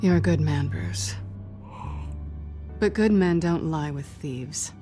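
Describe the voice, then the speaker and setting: A world-weary female cat burglar in a video game cutscene. Her voice sits fairly low, and she talks with guarded, teasing sarcasm. Music plays behind her. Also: expressive, takes it slowly, dark tone. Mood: serious, knowing. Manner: wry, sardonic.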